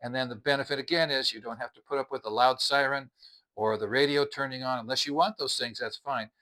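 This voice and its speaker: Bright-voiced man giving a product review. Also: mid-range voice, fairly even intonation, a little fast, low-quality recording. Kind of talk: casual explanatory product review.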